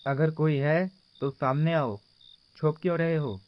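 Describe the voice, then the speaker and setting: A man's voice: a little high, fairly even intonation, a little slow, dry recording, lo-fi sound, lightly acted. A man voicing an uneasy young villager trying to stay brave in horror cartoon dialogue, questioning as he goes. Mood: uneasy, challenging.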